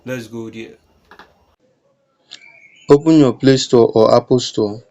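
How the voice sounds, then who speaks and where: Guy doing an app tutorial, pretty monotone, kinda dull muffled audio. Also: mid-range voice, takes it slowly. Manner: plain, instructional, short commands. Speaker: tutorial narrator. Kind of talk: step-by-step app tutorial narration.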